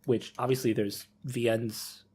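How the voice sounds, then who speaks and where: A man's voice: medium pitch, little pitch movement, unhurried, a little mellow, husky. A man hosting a casual podcast discussion, rambling and rephrasing as he thinks aloud. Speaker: podcast host.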